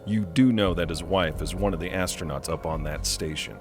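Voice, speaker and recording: A man playing a guarded, defiant company executive in an audio drama, speaking in a measured, formal, evasive way. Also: low-pitched, music in the background.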